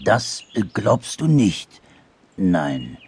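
A man's voice: medium pitch, wildly swooping pitch, slow pace, gravelly, a little hiss or hum, pitched above the performer's own voice, full character voice. A man voicing a big, easily hurt anteater in a storybook, hesitant and brooding. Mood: disappointed, unsure. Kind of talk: storybook character voice.

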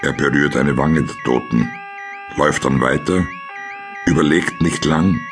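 A man's voice: a bass voice, unhurried, over music. A man narrating a radio play, reading scene directions in a terse, matter-of-fact way.

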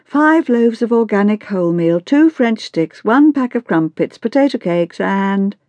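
Woman acting a whiny, high-pitched bored teenage girl. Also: sing-song, moderate pace, warm tone, dry recording. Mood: mocking. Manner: whiny, sarcastic teenage complaining. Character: bored, sulky, mocking fourteen-year-old girl. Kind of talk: acted audiobook dialogue.

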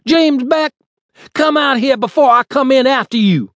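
A man voicing a huge, menacing street thug in an audiobook, yelling threats. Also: very high-pitched, normal speed, no room sound, voice pushed up. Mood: furious threat.

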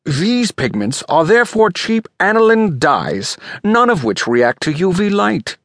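Pompous old scholar, audiobook character, wheezy, rolled R, highly animated pitch, theatrical, dry sound. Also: male voice, unhurried, no room sound, exaggerated voice. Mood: lecturing. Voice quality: breathy, wheezing, out of breath. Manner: pompous, emphatic, rolls his R. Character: fat, fluffy-haired, pompous old manuscript expert, courteous and theatrical.

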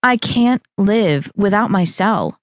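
A woman's voice: medium pitch, expressive, takes it slowly, no room sound. A woman voicing a reluctant, bookish young woman who answers in a dry, grudging way.

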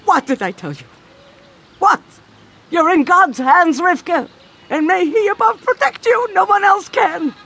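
Shrill, scolding pious townswoman. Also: expressive, measured pace, fairly bright tone, exaggerated voice. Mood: lamenting, ominous. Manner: dramatic, nagging, lamenting. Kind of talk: audio drama acting.

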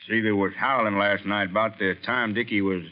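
An actor playing an earnest, plain-spoken small-town sheriff with folksy rural diction in an old-time radio drama. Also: male voice, fairly low pitch, steady tone, brisk, muffled recording, close to an everyday voice. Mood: earnest, matter-of-fact. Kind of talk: 1930s-40s radio drama acting.

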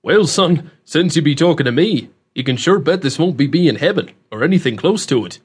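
A man's voice: fairly high pitch, lively intonation, fairly quick, slightly rough, voice pushed up. A man narrating an audiobook, voicing a scruffy, blunt old hermit who talks in a matter-of-fact rustic dialect. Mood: amused, folksy.

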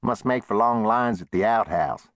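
Man as a terse, deep-voiced western drifter. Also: animated, normal speed, a bit bright, no echo. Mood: sardonic. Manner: laconic, wry frontier talk.

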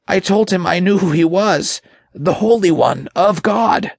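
A man voicing a frightened, servile demon underling with a high, raspy, creaky voice and a timid, halting manner in an audiobook. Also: no room sound, studio quality, full character voice. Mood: ashamed, fearful. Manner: timid, halting, whimpering, slow. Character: groveling, frightened male impure spirit, servile demon underling. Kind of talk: dramatic audiobook character voice.

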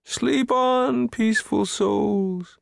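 A man voicing a mournful, melodramatic outcast creature in a storybook, lamenting theatrically in drawn-out howls and sighs. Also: high voice, creaky, dry recording, clean studio sound, voice pushed up, full character voice. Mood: mournful, martyred. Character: self-pitying wailing outcast creature, male, melodramatic and mournful. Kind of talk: storybook character voice.

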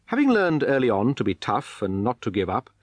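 Husky male narrator, animated intonation. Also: mid-range voice, fairly quick, dry recording. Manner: formal, articulate, explanatory. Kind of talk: scripted documentary biography narration.

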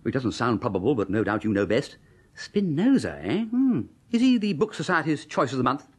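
An actor playing an excitable, amiable, dim upper-class English gentleman in a radio comedy, breezy and exclamatory. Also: medium pitch, a little fast, husky. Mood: sheepish, puzzled. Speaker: actor playing lead and narrator.

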